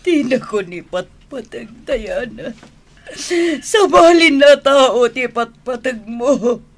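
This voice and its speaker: A woman's voice: a little high, animated, slow pace, full character voice. A woman acting in an Ilocano radio drama as a hurt, self-pitying mother who feels unloved, plaintive and melodramatic. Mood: tearful reproach.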